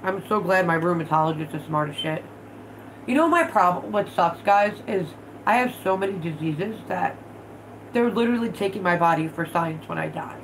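A woman in her thirties chats casually on a home livestream, her voice sitting a little low and sounding stuffed up from allergies. She talks bluntly and swears freely as she works with her hands. A faint room hum sits behind her, picked up by a phone on the table in her living room. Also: expressive, moderate pace, poor audio. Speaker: vlog host.

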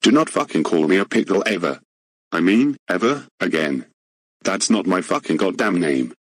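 A man voicing a hot-tempered, rich boss who swears and shouts indignantly in amateur voice acting. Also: low-pitched, big pitch swings, moderate pace, husky, no room sound, clean studio sound, exaggerated voice. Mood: furious.

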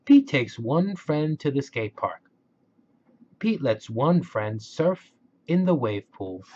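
A man reading a children's story aloud in a simple, expressive way, on a slightly dull home recording. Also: mid-range voice, slow, a little hiss or hum. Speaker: picture book reader.

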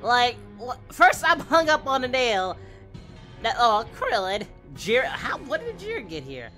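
An excitable, goofy cartoon hero, played by a man, hosts a casual reaction show. The voice is bright and forceful and swoops widely, going from muttered asides to loud exclamations, with faint music behind. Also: extremely high pitch, measured pace, full character voice. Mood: baffled. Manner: exclamatory, swings from muttering to yelling. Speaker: reaction video host.

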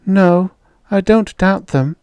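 A frail storybook mother, put on by a man, pitched well up. She is timid and anxious, speaking softly and pleadingly in a dry, close recording. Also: takes it slowly, smooth, no echo. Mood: weak, pleading. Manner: soft, pleading.

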